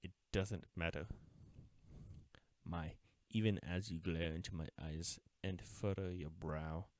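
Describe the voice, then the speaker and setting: An actor playing an uptight government agent in an audio roleplay, speaking formally and deliberately, smug yet mourning a lost friend. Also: male voice, deep voice, fairly even intonation, no room sound. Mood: conflicted, mournful. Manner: formal, deliberate, taunting with wistful undertones. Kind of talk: scripted audio roleplay drama, addressing the listener.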